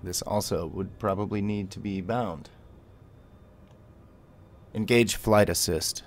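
Very low-pitched man doing game tutorial. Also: takes it slowly. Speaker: tutorial host. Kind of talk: gameplay tutorial commentary.

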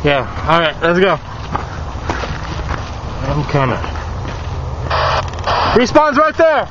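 A man playing airsoft outdoors, giving quick terse callouts to teammates and laughing often. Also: high-pitched, sing-song. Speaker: airsoft player filming. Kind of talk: casual game chatter. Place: outdoor field. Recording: lo-fi camera audio with wind and outdoor noise.

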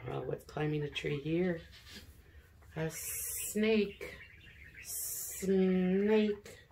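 A middle-aged woman, an elementary teacher leading a read-aloud lesson for young children, speaking deliberately, sounding out words and asking questions. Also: on the low side, dark tone, a little hiss or hum. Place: living room at home, seated in an armchair.

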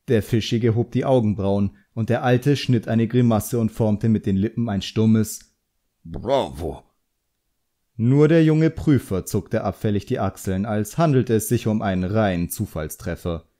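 A man's voice: fairly low pitch, unhurried, mellow. A man narrating a literary audiobook in a dramatic storytelling style.

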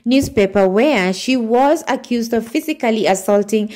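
A woman's voice: a little high, expressive, normal speed, darkish tone. Woman narrating gossip news. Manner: reads quoted posts aloud with frequent fillers and restarts. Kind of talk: read-aloud celebrity gossip narration.

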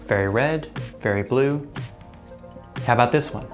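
A man's voice: mid-range voice, unhurried, faint music behind. A young man presenting a scripted educational explainer to camera in a studio, conversational with playful asides to the viewer.

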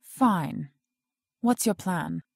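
A woman's voice: on the low side, lively intonation, extremely slow, gravelly, slightly rough, no echo, studio quality, heavily acted. An actress in an audio drama playing an indignant, strong-willed woman who works at a research facility.

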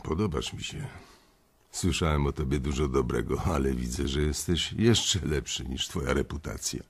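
Phlegmatic heavyweight banking chief, very deep, creaky fry, laconic, menacing, audio drama. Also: male voice, full character voice. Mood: cool approval. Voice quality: gravelly, very deep, husky. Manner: slow-to-react, laconic, coolly approving. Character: big, phlegmatic, menacing male bank boss.

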